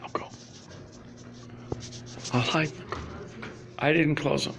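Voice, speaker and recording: A man's voice: on the high side, fairly even intonation, takes it slowly, background noise. A man rambling through a live stream from inside a public library, full of restarts and asides. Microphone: phone or laptop camera.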